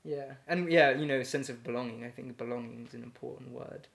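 Male poet guest, mid-range voice, musing and unhurried, trails off and restarts, laughs often. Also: mid-range voice, vocal fry, a bit bright. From Ecuador and England. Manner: thoughtful, hesitant, many fillers and restarts, laughs easily. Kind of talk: casual reflective conversation.

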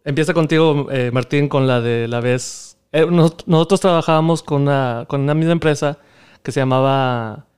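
A man's voice: fairly high pitch, moderate pace, a little mellow, smooth. A man with a Mexican accent hosting a casual, bantering interview, talking in slangy, profane, teasing style. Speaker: podcast host and fellow cook.

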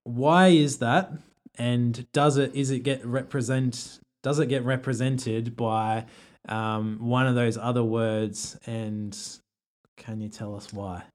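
Aussie pastor guy on a podcast, keeps restarting, lots of ums, mid pitch. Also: fairly even intonation, slow. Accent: Australian. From Australia. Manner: hesitant, many restarts and fillers while thinking aloud. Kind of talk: casual podcast chat.

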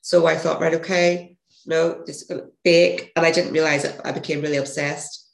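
A woman with a gravelly, hoarse voice, a podcast guest telling a rambling story and correcting herself as she goes. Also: sits low, flat delivery, normal speed. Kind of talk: casual interview storytelling. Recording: echoey room.

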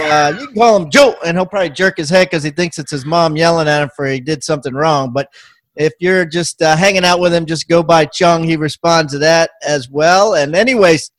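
A man's voice: fairly high pitch, normal speed, dry recording. A man hosting a podcast, introducing his guest in a laid-back, teasing way. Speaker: podcast host. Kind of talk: casual chat.

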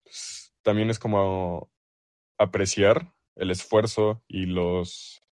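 Deep-voiced young man, close dry sound. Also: fairly even intonation, slow pace, darkish tone, no echo. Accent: Mexican. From El Salvador. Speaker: basketball player being interviewed. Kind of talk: casual interview answers.

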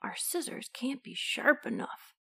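Woman doing a grumbling male thief, stubborn, emphatic, theatrical, lively intonation, rhyming storybook character. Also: sits high, a little slow, gravelly, husky, no room sound, exaggerated voice.

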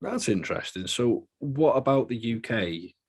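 A man's voice: mid-range voice, lively intonation, moderate pace, a little mellow, husky. A British man hosting a podcast in a chatty, joking, rambling way. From Britain. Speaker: podcast host. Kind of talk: casual podcast chat.

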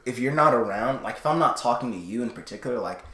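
A young man co-hosting a casual podcast, moving between a loud projected voice and quieter, lower talk. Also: animated, fast, echoey room. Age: young adult. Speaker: podcast co-host. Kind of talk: casual chat.

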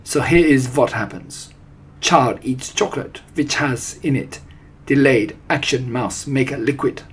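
A man's voice: medium pitch, unhurried, husky. A man voicing a disgusting, imperious old witch from a storybook, commanding and gloating in broken, foreign-sounding grammar. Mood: imperious, instructing. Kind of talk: storybook character voice.

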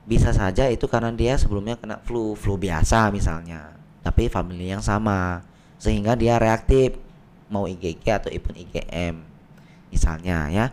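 A man, a doctor, explaining medical tests on a podcast in a patient, plain way with everyday analogies, his voice slightly hoarse. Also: lowish voice, normal speed, darkish tone, a little hiss or hum. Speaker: doctor guest. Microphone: podcast microphone.

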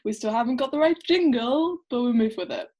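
A woman in her twenties co-hosting a podcast, upbeat and quick to laugh. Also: fairly high pitch, a little mellow. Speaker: podcast co-host. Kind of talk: casual chat.

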